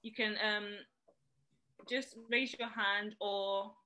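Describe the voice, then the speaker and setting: Bright-voiced woman hosting a webinar. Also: medium pitch, fairly even intonation, slow pace. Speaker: webinar host. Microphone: video call.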